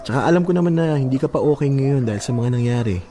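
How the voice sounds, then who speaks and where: A man's voice: medium pitch, lively intonation, fast, dark tone, close to an everyday voice. A man playing a devoted, affectionate young husband in a Filipino radio drama, speaking reassuringly. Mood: gentle, caring.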